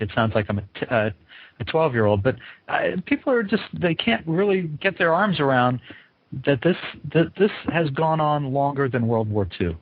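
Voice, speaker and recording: A man's voice: mid-range voice, no echo. A man hosting an interview casually, with a rambling, informal, self-correcting way of asking questions. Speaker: interviewer and host. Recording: dry, narrow phone-like sound.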